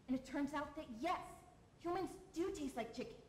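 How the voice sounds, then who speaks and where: A woman's voice: high voice, moderate pace, lightly acted. A woman playing a self-important talking adventure memoir in a comic sketch, melodramatic and aggrieved. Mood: grave storytelling. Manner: melodramatic, indignant. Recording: echoey room with faint noise.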